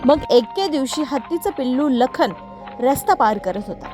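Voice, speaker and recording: Female storyteller for children's tales, higher-pitched voice, light music underneath. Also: expressive, normal speed. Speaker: narrator. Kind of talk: animated children's moral story narration.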